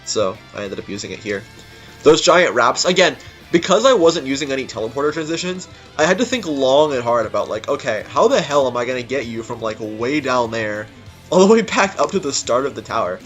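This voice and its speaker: Man, game creator commentary, casual, rambling, mid-pitched voice, faint music behind. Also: expressive, brisk, a bit bright, dull audio. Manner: rambling, informal. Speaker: game level creator giving developer commentary. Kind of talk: casual unscripted gameplay commentary.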